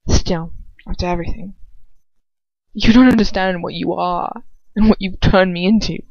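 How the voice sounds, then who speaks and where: A woman's voice: on the low side, fairly even intonation, normal speed, a little mellow, no echo. A woman voicing a cruel, proud, hot-tempered aristocratic wizard, bitter and venomous, in a storybook reading. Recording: dull, lo-fi, dry home recording.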